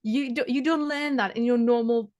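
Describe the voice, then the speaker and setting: A woman's voice: a little high, normal speed, a little mellow. A woman in her thirties from the Czech Republic, whose first language is Czech, talking casually about her tutoring work with many fillers and restarts. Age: 30s. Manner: chatty, many fillers and restarts, laughs often. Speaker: maths tutor. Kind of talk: casual interview chat.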